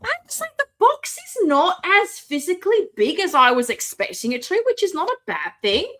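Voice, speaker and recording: A high-voiced woman chatting on a live stream, her pitch bouncing all over as she talks. She reads out viewer comments and jokes about herself while she unboxes a figure. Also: very animated, moderate pace, a bit bright. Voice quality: self-described booming voice. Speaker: host of a live toy collecting show. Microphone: desk mic, moved away at times.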